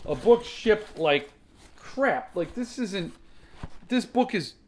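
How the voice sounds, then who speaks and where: A man's voice: sits high, lively intonation, slow pace, a little hiss or hum. A man giving casual, opinionated unboxing commentary, explaining things conversationally as the host of his own review channel.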